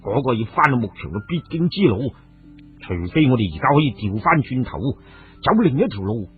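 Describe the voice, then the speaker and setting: A man's voice: medium pitch, big pitch swings, a little fast, breathy edge. Man playing hearty, cautious warrior brother, muffled phone-like sound. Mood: surprised. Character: battle-wise sworn elder brother, hearty and cautious. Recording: phone-like, muffled audio with music.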